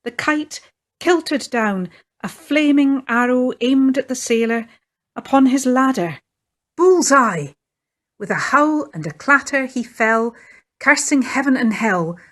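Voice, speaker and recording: A woman's voice: high-pitched, expressive, slow pace, a bit bright. A woman reading her own picture book aloud in a lilting storytelling manner. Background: faint fiddle music.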